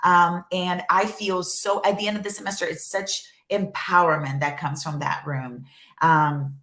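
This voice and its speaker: A woman from Brazil whose first language is Portuguese, chatting as an interview guest in an animated way, with lots of fillers and restarts. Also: fairly low pitch, unhurried. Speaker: guest, journalism professor. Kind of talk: casual interview chat. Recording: echoey room.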